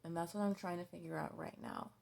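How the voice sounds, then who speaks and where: A woman in her twenties talking frankly in a personal vlog, halting and hesitant, with many restarts and fillers, blunt and given to swearing. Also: lowish voice, fairly even intonation, creaky, a little mellow. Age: 20s. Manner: blunt and candid, swearing freely. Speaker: vlogger.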